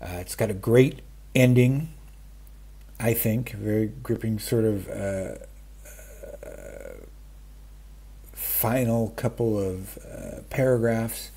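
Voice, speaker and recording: A man in his fifties or older chatting casually about stories, halting and interrupting himself in a self-deprecating way, with a husky voice. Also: on the low side, animated, dark tone. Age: 50s or older. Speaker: book vlogger. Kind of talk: casual rambling chat about stories and radio. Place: small room at home, indoors. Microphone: webcam framing, wearing wired earphones.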